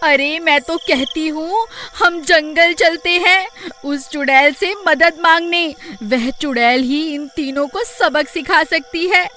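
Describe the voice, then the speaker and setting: A woman voicing a panicky, melodramatic village woman in a Hindi cartoon, shrill and lamenting. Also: takes it slowly, few pauses, pushed and bright, exaggerated voice. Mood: urging.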